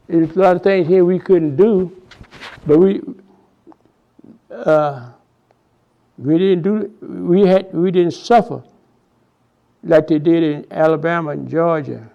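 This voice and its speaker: A man in his nineties from Texas with a Southern accent, recalling his life in a halting oral history interview full of restarts and repeated words. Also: on the high side, creaky, highs cut off. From Houston, Texas. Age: 90s.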